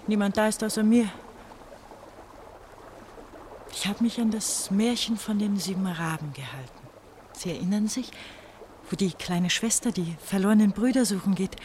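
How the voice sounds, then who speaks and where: An actress playing a bitter, feverish eighteen-year-old East German girl in a German radio play, brooding and halting in her delivery. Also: mid-range voice, a little mellow, slight background noise, lightly acted. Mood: sorrowful.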